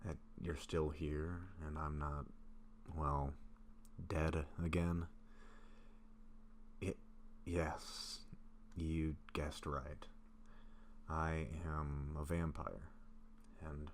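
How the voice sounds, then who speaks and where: A man acting a gentle, bashful, sick vampire in an intimate roleplay audio, speaking softly and haltingly to a close friend. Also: very deep voice, a little hiss or hum. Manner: soft-spoken, hesitant, halting with pauses.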